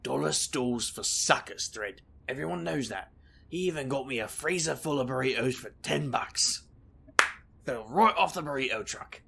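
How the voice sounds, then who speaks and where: A man playing a boastful, scheming supernatural investigator in an audio drama, wry, glib and theatrical, with a gravelly, husky voice. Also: medium pitch, expressive, normal speed, bright, forceful tone, heavily acted. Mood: breezy, glib. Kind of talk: audio drama narration and dialogue.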